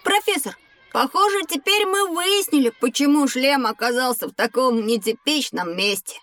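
A woman's voice: high-pitched, expressive, normal speed, fairly bright tone. A woman playing a curious, polite child pupil in an educational audio drama. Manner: inquisitive, polite.

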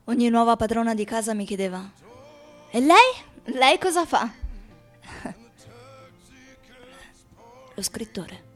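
A high female voice plays a brash, boozy drifter in an Italian radio play. The delivery is theatrical and halting, with repeated words. Soft music plays underneath. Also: animated. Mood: wistful. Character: boozy, brash, teasing woman lover; later reminiscing starving writer. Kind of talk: theatrical radio drama monologue.

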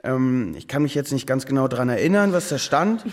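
Cheeky, animated teenage schoolboy, played by a man. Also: mid-range voice, a little fast, lightly acted. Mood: earnest, defensive. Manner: cocky, joking, slangy. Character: cheeky, provocative teenage schoolboy, class clown. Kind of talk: stage-style drama dialogue in a classroom.

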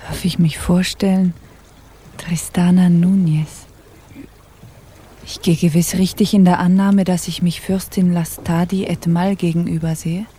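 A woman's voice: on the low side, little pitch movement, a little slow, mellow, a little hiss or hum, close to an everyday voice. A woman playing a deposed pirate captainess in a radio drama, formal, dignified and weary. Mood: formal, humble. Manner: formal, dignified, self-deprecating. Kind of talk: German radio drama acting.

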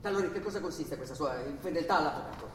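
A man's voice: a little high, very fast, natural voice. An actor as an incredulous man in a cavernous echo. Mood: probing, controlled. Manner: questioning, echoing the other's words incredulously. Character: puzzled, probing male bank official on trial. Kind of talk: theatrical radio drama acting. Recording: very echoey hall, distant mic, faint noise.

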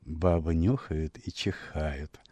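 Guy reading a classic story on radio, deep voice, measured and expressive, really dry close sound. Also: dry recording. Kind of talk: expressive literary narration for radio.

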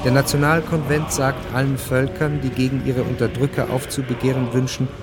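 An actor playing a detached chronicler, narrating a radio drama in a crisp, factual manner. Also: male voice, medium pitch, little pitch movement, a little fast, lightly acted. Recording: studio narration over clear music bed.

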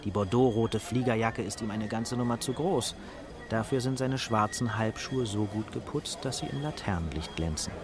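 An actor playing a detached, wry narrator of a radio drama, reading measured scripted narration with street noise and a crowd behind. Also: male voice, lowish voice, natural voice. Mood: detached, deadpan.

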